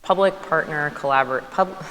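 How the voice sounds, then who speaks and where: A woman with an unusually low voice moderates a panel and poses questions, stumbling lightly over her words. Long hall reverb and faint ambience surround her. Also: normal speed, a bit bright, slight background noise. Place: conference hall.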